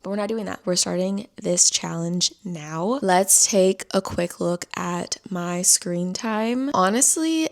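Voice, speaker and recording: A woman's voice: lowish voice, creaky. A young woman vlogging in a casual chatty way, a bit stuffy-nosed, sometimes dropping to a whisper. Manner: chatty, often drops to a whisper. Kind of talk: casual vlog chat.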